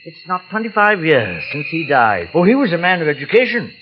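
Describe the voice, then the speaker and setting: Soft-spoken jungle recluse, middle-aged, stammering, chuckling, quietly menacing, lively pitch, muffled old radio sound, faint hiss. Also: male voice, brisk. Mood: wistful. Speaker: actor in radio drama. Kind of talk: 1940s radio acting.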